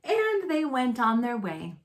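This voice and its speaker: Slow, higher-voiced woman reading a children's story. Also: wildly swooping pitch. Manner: deliberate, dramatic pauses. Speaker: storybook reader. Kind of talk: expressive children's read-aloud.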